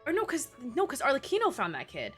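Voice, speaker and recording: A woman's voice: sits high, huge pitch swings, fast talker, fairly bright tone. A young adult woman commenting casually on a game livestream, slangy, reactive and rambling. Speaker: game streamer. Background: game music.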